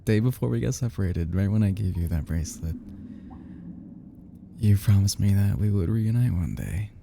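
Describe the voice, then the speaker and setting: A man playing an obsessive, lovesick young yandere kidnapper in an ASMR roleplay drama, stammering and sighing with halting, fragile tenderness, often dropping into breathy half-whispers. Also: fairly low pitch, background noise. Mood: relieved, devoted.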